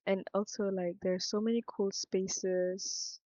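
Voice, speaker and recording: A woman's voice: mid-range voice, steady tone, slow, mellow, no echo, highs cut off. A young woman from Singapore with a Singaporean accent, thinking aloud in a rambling, frank vlog monologue. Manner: meandering, thinks aloud, self-interrupting, frank. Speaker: vlogger.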